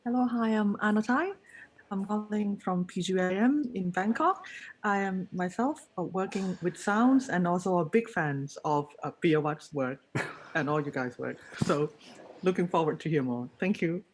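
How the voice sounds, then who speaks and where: A woman who is an academic introduces herself briefly on a video call, quick and friendly. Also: lowish voice, slight background noise, poor audio.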